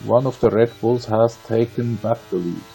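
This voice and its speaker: A man's voice: medium pitch, steady tone, moderate pace, gravelly, mellow, slight background noise, low-quality recording. A man from Austria with an Austrian accent and German as his native language, giving conversational, wry live racing commentary with playful asides. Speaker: sim racing league commentator.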